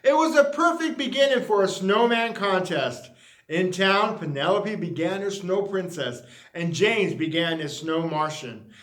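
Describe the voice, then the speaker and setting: A man reading a children's picture book aloud in an animated storytelling style with emphatic, deliberate phrasing. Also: high voice. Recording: roomy, echoey sound.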